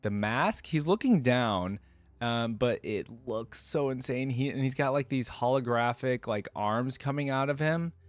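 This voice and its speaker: A man's voice: mid-range voice, measured pace, no echo. A man giving casual, off-the-cuff reaction commentary as a video host, talking in an enthusiastic, informal, slangy way.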